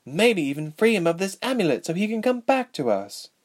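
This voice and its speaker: A man's voice: high voice, sing-song, brisk, voice pushed up. A man voicing a young, petite princess in an audiobook story, impatient and earnest, with an emphatic delivery. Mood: frustrated, pleading. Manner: exasperated, emphatic.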